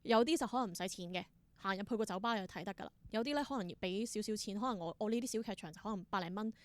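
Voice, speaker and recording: A woman's voice: medium pitch, rapid-fire. A young adult woman with a Hong Kong Cantonese accent, chatting reflectively as an interview guest and mixing in English words. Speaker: interview guest, theatre performer and creator. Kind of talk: casual interview conversation.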